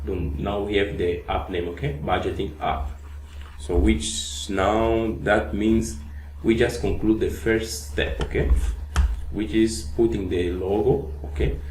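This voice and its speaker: A man with a low, soft voice, whose first language is Portuguese, teaching a coding lesson one step at a time. He breaks up his steps with "uh" and asks "okay?" again and again. The room sounds echoey, with a faint hiss underneath. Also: fairly even intonation, slow. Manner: narrates each step, frequent "okay?" check-ins and "uh" fillers. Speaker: coding tutor. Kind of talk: screen-recorded coding tutorial.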